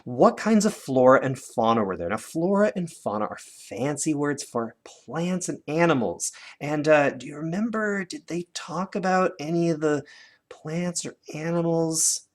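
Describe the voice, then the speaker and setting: A man's voice: a little high, lively intonation, unhurried. A man with a North American accent teaching children in a conversational way, guiding them with questions as he demonstrates. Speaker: teacher presenting a lesson. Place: indoors at a desk, hands-on demonstration filmed from above.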